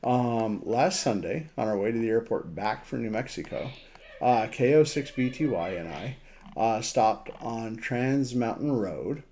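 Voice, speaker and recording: A man giving a casual screen walkthrough as a radio hobbyist, thinking aloud in a halting way. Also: medium pitch, expressive, warm tone. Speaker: amateur radio operator presenting a map demo.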